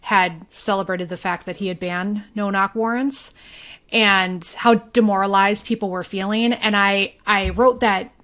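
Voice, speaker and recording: A woman with a medium-pitched voice chats on a podcast. She speaks as a familiar co-host, in an informal, conversational style. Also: steady tone, measured pace, fairly bright tone. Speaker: recurring podcast co-host and local journalist. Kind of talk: casual conversational podcast chat.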